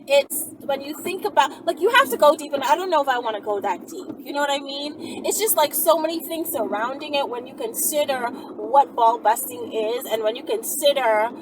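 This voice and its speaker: A woman's voice: high voice, animated, normal speed, few pauses. A woman talking casually and off the cuff to her viewers, emphatic and often checking that they agree. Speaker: video channel host answering viewer questions. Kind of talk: casual unscripted talk to viewers. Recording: distant mic, clear background noise.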